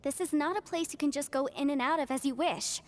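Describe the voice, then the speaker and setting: Woman as a high-voiced, earnest young female peacemaker. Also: quick pace, natural voice. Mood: regretful. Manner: polite, earnest, fretful.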